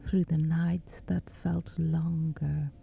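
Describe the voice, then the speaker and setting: A woman speaks a sleep meditation in a hushed, whispery voice with a creaky edge. She goes extremely slowly and gently, leaving long pauses in a soothing, ASMR-like way. Soft music plays quietly behind her. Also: low-pitched, little pitch movement, dull audio. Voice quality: breathy, whispery.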